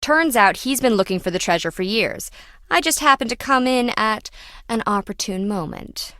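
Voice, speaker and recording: A woman's voice: a little high, animated, normal speed, a bit bright, no echo, studio quality. A woman playing a brash, self-important treasure hunter in a video game, sarcastic and slangy, prone to flippant, dramatic complaining. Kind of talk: video game character dialogue.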